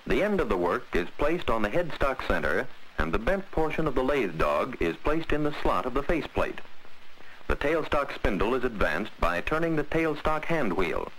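An American man narrates a 1940s instructional film with measured, precise phrasing. His medium-pitched voice moves in lively rises and falls. The old film soundtrack carries a faint layer of hiss. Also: rough recording.

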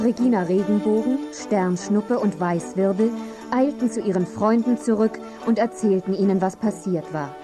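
A woman's voice: mid-range voice, normal speed, over music. A woman reading scripted storybook narration for children as a narrator.

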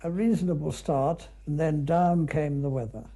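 A man's voice: on the high side, fairly even intonation, normal speed, warm tone. An older man with a British accent reminiscing in an unscripted, conversational film commentary, with clipped musing asides. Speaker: canoeist recalling an expedition.

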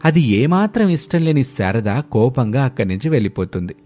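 A man narrating an animated moral tale as a scripted storyteller. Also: mid-range voice, fairly quick, faint music behind.

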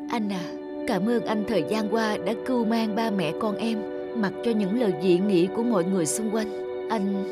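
A woman's voice: on the high side, natural voice. A woman voicing a dying mother in a cartoon, tender and pleading. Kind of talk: scripted cartoon dubbing.

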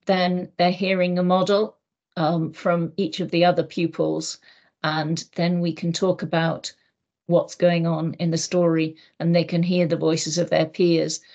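A middle-aged woman with an English accent speaks in a somewhat low voice, measured and thoughtful, pausing on ums as she explains. She talks from home into a laptop mic on a video call. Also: darkish tone, muffled recording. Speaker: EAL teacher.

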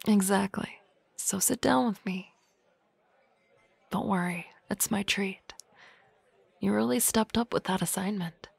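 A woman voicing a sardonic, teasing office-lady boss in an ASMR roleplay, with dry banter and soft whispery asides on a close mic. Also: mid-range voice, unhurried, vocal fry, pauses often, husky, dry recording, heavily acted.

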